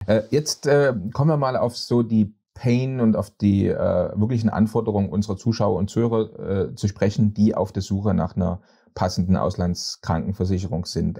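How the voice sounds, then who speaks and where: A man's voice: lowish voice, vocal fry, warm tone, husky. A man hosting a podcast interview, asking long chatty questions with many hesitant fillers. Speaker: podcast host.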